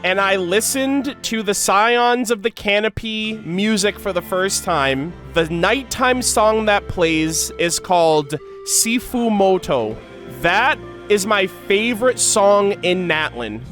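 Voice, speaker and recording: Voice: medium pitch, lively intonation, slow pace, fairly bright tone, faint music behind. A streamer giving casual, slangy, exclamatory reaction commentary on a game to chat. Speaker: reaction video creator.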